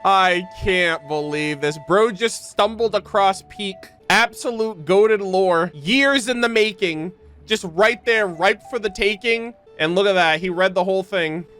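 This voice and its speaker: A man streaming a video game and reacting casually, in slangy, excitable commentary. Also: sits high, a little slow, soft background music.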